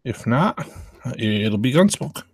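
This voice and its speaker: A man's voice: medium pitch, big pitch swings, slow, husky, dry recording. A man chatting casually and informally as the host of a live stream of classic radio shows.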